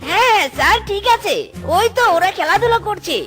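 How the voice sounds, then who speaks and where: A woman's voice: very high voice, animated, fairly bright tone, faint music behind. A woman voicing a greedy, wheedling orphanage manager in a children's cartoon, pleading in repeated phrases. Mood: evasive. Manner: hurried, repetitive pleading.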